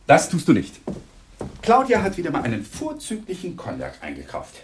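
An actor playing an embittered, cold husband in a German radio crime drama, scornful and brooding. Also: male voice, fairly high pitch, wildly swooping pitch, normal speed, a little hiss or hum. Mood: exasperated. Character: embittered, cold husband worn down by his marriage.

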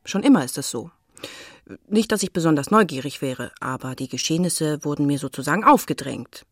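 A woman's voice: low voice, lively intonation, fairly quick, breathy edge, dry recording, studio quality. A woman playing a nosy neighbour who narrates a children's radio play in a confiding, suspenseful way. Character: nosy gossipy neighbour woman telling the story. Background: faint music.

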